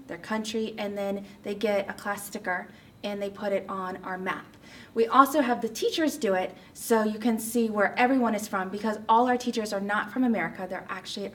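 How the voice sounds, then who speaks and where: A woman, a student volunteer teacher, calmly explaining how the classes work. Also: medium pitch. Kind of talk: explaining program in interview. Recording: distant mic, faint noise.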